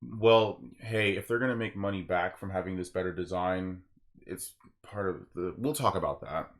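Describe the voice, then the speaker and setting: A man's voice: low-pitched, moderate pace. A man chatting casually on a group podcast as a host, explaining his opinions.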